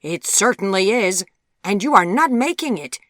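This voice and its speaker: A woman's voice: medium pitch, expressive, normal speed, a bit bright, dry recording, clean studio sound, voice pushed up, natural voice. Woman acting out a stern, scolding, indignant mother. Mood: accusing, stern. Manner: formal, scolding, emphatic. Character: stern, indignant middle-aged mother scolding her daughter.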